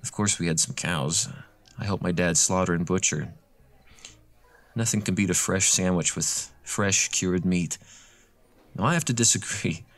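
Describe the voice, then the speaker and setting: A man's voice: low voice, measured pace, long pauses. An actor playing a chatty, awkwardly eager, faintly creepy man in a scripted horror audio drama.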